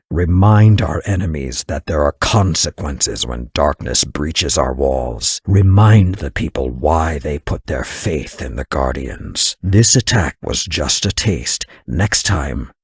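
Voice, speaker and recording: Game casting: bitter old veteran mentor, extremely low, rasping voice, grave and forceful, dry close recording. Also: male voice, no room sound, heavily acted. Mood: grave warning. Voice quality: very deep, gravelly, husky. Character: stern, embittered old male war veteran mentor. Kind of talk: dramatic video game voice acting.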